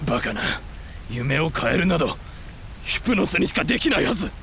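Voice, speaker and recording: Stern, gravelly stoic warrior. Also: male voice, fairly high pitch, sing-song, brisk, a bit bright, a little hiss or hum, exaggerated voice. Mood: shocked, grave. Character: stoic, serious male warrior companion. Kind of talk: Japanese audio drama acting.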